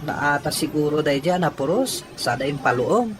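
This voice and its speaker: A woman playing a gentle young man in an audio drama, a dutiful and devoted figure. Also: deep voice, expressive, moderate pace, over music. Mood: calm. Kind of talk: acted Ilocano audio drama.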